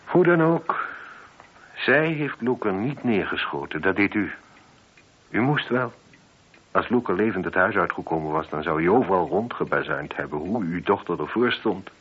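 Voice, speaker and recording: An actor playing a shrewd, methodical chief police inspector in a radio play, speaking in a measured, probing and politely insistent way. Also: male voice, sits low, husky, full character voice. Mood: pressing, firm. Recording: dull, noisy old radio play recording.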